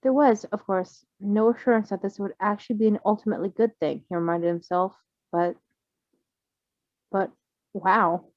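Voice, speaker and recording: A woman's voice: medium pitch, steady tone, moderate pace, pauses often, no echo, muffled recording. A woman reading a fan fiction story aloud, then chatting casually about it and laughing often.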